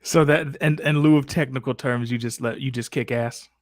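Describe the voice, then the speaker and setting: A man's voice: mid-range voice, steady tone, moderate pace, dry recording. A man hosting a casual livestream Q&A with slangy, mock-exasperated banter. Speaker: livestream host.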